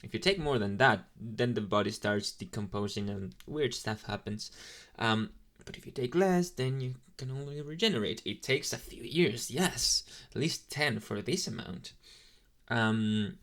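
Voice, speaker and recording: A man's voice: mid-range voice, lively intonation, unhurried, a bit bright. A man playing an inexperienced young male demon intern in an ASMR roleplay, chatting in a casual, hesitant, apologetic way straight to the listener. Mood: explaining, reassuring. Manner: rambling, hesitant, casually chatty with slangy asides.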